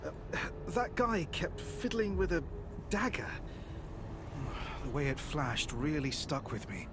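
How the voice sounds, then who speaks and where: An actor playing a timid, shaken male scientist in a video game, halting and stammering. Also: on the high side, big pitch swings, noisy background. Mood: hesitant, recalling.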